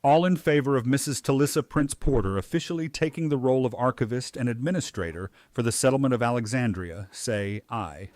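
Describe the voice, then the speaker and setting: A man's voice: medium pitch, brisk, few pauses, darkish tone, no room sound, close to an everyday voice. A man playing an agreeable, supportive councilman in a fan audio drama, easygoing and encouraging. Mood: formal.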